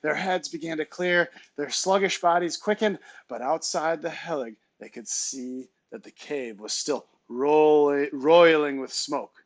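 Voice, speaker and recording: A man reading a children's novel aloud like a teacher, with expressive, dramatic storytelling. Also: on the high side, measured pace, hardly any pauses, no room sound.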